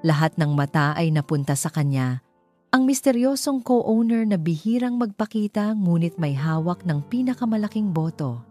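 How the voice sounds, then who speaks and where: A woman's voice: deep voice, dark tone. A woman with a Filipino accent reading a dramatic romance story as a scripted narration.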